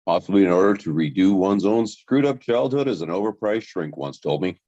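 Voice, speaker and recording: A brooding, bitter young man played by a man in a drama table read. The voice is deep and dark, and the dull recording has very little room in it. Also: animated, brisk, dry recording. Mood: bitter, resigned.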